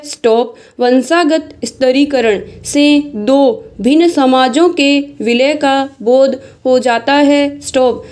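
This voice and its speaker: Female dictation instructor, high voice, slow measured read of formal scripted text, deliberate phrasing. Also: a little mellow. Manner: measured, deliberate, speaks punctuation marks aloud.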